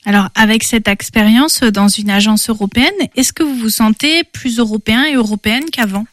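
A woman's voice: fairly high pitch, fairly quick, dry recording, clean studio sound. A woman interviewing two interns, asking short, plain questions.

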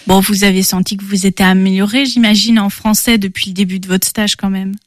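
A woman's voice: mid-range voice, steady tone, fairly quick, no room sound. A woman interviewing two interns, asking short, plain questions.

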